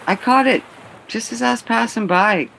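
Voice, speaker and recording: A woman's voice: fairly high pitch, normal speed, gravelly, fairly bright tone, slight background noise, rough recording. A woman playing a gossipy, sardonic boat hostess in a radio play, chatty and conspiratorial.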